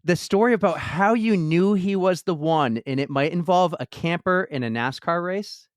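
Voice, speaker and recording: Male podcast host, slightly high voice, dry close mic, friendly rapid questions. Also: dry recording. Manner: friendly, asks several questions in a row. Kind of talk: interviewing a guest.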